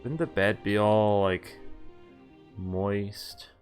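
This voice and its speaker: A man's voice: on the low side, steady tone, extremely slow, a little mellow. A man reading visual novel dialogue and narration aloud in a conversational way, sometimes stumbling and correcting himself. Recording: game music behind the voice. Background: visual novel music.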